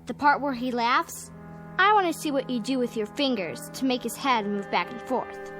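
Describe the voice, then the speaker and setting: A woman plays a bratty young girl in a radio play, skeptical and demanding. Her high voice swings widely as she taunts, with faint music behind. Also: lively intonation, normal speed, close to an everyday voice. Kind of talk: radio drama acting.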